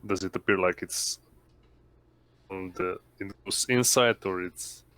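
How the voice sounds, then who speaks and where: A man chatting as a player in a tabletop roleplaying game, halting and often questioning. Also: sits low, fairly bright tone. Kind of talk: casual tabletop game chat.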